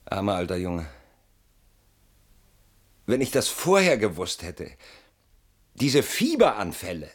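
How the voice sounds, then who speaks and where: Casting: bitter, tired, haunted middle-aged man for radio drama; theatrical, muttering to outbursts, very animated delivery. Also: fairly low pitch, slow, long pauses, slightly rough, heavily acted. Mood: grieving, muttering. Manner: brooding, mocking, swinging between muttering and outbursts. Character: weary, bitter man haunted by a betrayed old friend.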